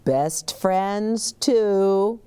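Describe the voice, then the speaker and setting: A woman voicing a gentle, reassuring mother in a children's storybook, patient and tender. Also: mid-range voice, very slow, clean tone.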